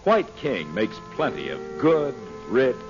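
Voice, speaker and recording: A man announcing in vintage radio style, reading a scripted pitch in an emphatic, salesmanlike way. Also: huge pitch swings, takes it slowly. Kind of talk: 1940s scripted radio ad and sign-off. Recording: muffled old radio broadcast recording. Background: music.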